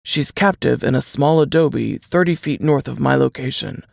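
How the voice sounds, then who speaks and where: A narrator voicing a weary, capable male field agent, speaking in a hushed, clipped way in a suspense audiobook. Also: mid-range voice, normal speed, gravelly, no echo, studio quality. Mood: hushed, focused. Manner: hushed, clipped radio-protocol delivery.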